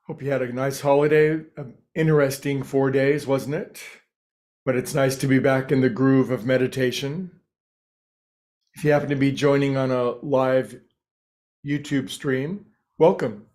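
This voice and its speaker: A man leading a guided meditation, speaking calmly and without hurry, with pauses between short phrases. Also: medium pitch. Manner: calm, unhurried, pausing between short phrases. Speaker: meditation teacher and host.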